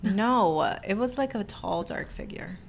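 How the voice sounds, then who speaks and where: A woman's voice: mid-range voice, animated, a little slow, vocal fry, a little hiss or hum. A young woman with a New York accent, co-hosting a casual podcast in a playful way. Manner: playful, backs up her co-host. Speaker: podcast co-host. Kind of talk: casual chat.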